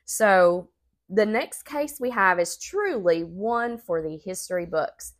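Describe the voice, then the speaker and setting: A woman, co-hosting a podcast, nasal and congested from a sinus infection, chatty and quick to laugh. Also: medium pitch, animated. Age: 30s. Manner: chatty, laughs often, says y'all. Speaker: podcast co-host. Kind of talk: casual chat and storytelling.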